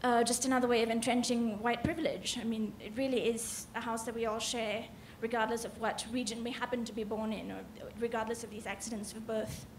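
A woman's voice: on the high side, little pitch movement, a little fast, hardly any pauses. A young woman from Malaysia speaking hesitantly into a handheld mic from the audience of a literary panel in a large hall. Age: young adult. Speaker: audience member asking a question. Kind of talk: spontaneous remarks at a literary panel. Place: large indoor conference hall. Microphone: handheld microphone. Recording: roomy, echoing auditorium with some noise.

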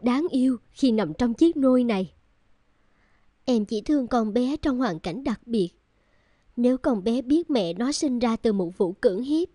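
A woman voicing a tender, caring young woman in a cartoon family drama, gentle and heartfelt. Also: a little high, dry recording, clean studio sound.

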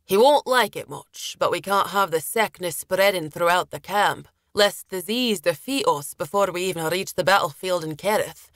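Storybook military officer, mature man, played by a woman; lilting accent, measured command, amused and lenient, close dry sound. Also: lowish voice, fairly bright tone, no echo, close to an everyday voice. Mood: calm authority.

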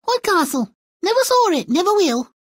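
A woman's voice: very high-pitched, big pitch swings, normal speed, dry recording, clean studio sound. A woman playing a young fairy-tale princess in a video game, coy and theatrical, sweet on the surface and vengeful underneath. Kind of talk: video game character acting.

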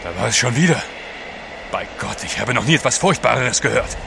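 An actor playing a wary, sardonic and plain-spoken seafarer on a polar trek in an audio drama. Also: male voice, medium pitch, expressive, a little fast. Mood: uneasy. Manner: blunt, sardonic. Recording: clear outdoor background noise.

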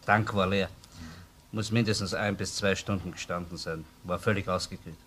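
Down-to-earth Viennese police officer for a crime radio play, low-toned, colloquial, reporting plainly, faintly noisy old recording. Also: male voice, steady tone, moderate pace, a bit bright. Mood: matter-of-fact. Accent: Viennese Austrian. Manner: colloquial, matter-of-fact reporting. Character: plain-spoken male police officer reporting to his chief. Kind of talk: German-language crime radio drama acting.

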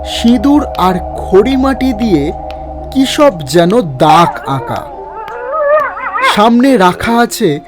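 A male storyteller reads a horror tale in a high, expressive voice, taking his time over each phrase. Clear background music runs under his narration. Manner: slow measured storytelling.

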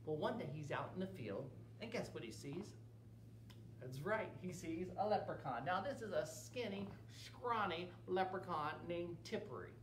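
A middle-aged man telling a children's story in an animated way. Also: high-pitched, moderate pace. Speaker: library storyteller. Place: small indoor room at a library. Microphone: camera a few feet away. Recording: roomy, distant camera mic, faint hum.

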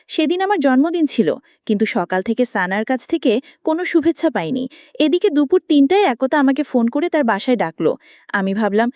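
A woman's voice: sits high, darkish tone, no room sound, lo-fi sound. A woman reading a scripted romantic story aloud in the first person, as an audio story narrator.

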